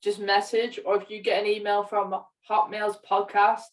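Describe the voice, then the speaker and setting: A woman's voice: medium pitch, flat delivery, moderate pace. Woman podcasting, distant from the mic. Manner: self-deprecating, hesitant. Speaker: podcast co-host. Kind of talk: casual podcast chat.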